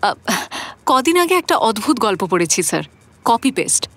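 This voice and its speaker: High-voiced pert young woman. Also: big pitch swings, moderate pace, fairly bright tone, no room sound, heavily acted. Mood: curious, eager. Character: pert young woman, curious, teasing and easily piqued. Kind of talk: Bengali radio drama acting.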